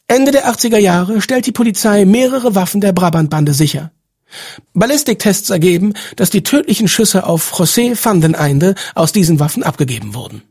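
A man's voice: high voice, lively intonation, moderate pace, darkish tone, husky. A man hosting a podcast, reading scripted narration.

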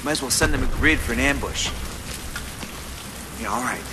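Man acting a gruff marine amid loud battle noise. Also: medium pitch, fairly even intonation, moderate pace, natural voice. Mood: bitter, irritated. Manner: blunt, swearing, tense. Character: gruff, cynical, foul-mouthed adult male marine on patrol. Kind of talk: military video game acting.

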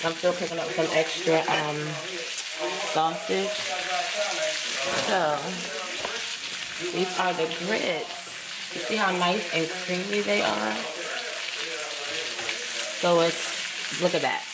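A woman's voice: on the low side, fairly even intonation, takes it slowly, pauses often, over a noisy crowd. A woman in her thirties chats casually and playfully in a home kitchen, vlogging into a nearby camera with colloquial phrasing. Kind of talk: casual vlog chat. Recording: clearly noisy home video audio.

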